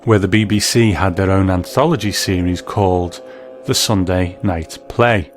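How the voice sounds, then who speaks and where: A man's voice: sits low, moderate pace, faint music behind. A man hosting and narrating a scripted documentary piece in an explanatory way. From Britain. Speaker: podcast host.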